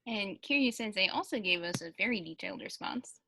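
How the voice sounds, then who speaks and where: A woman's voice: mid-range voice, lively intonation, brisk, sharp tone, slight background noise. A woman chatting casually on a Q&A panel and reading answers aloud as a translator.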